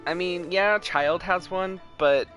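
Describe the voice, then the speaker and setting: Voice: mid-range voice, slow pace, a bit bright, faint music behind. A game streamer giving casual live commentary, chatting and musing aloud.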